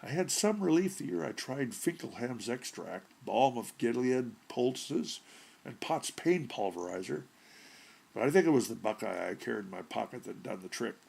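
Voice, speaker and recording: A man voicing a brash, folksy burglar in a short story reading, with gravelly, husky voice and blunt, colloquial delivery. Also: mid-range voice, lively intonation, moderate pace, a little mellow, full character voice. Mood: chummy, complaining. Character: brash, folksy, slangy male burglar with rheumatism.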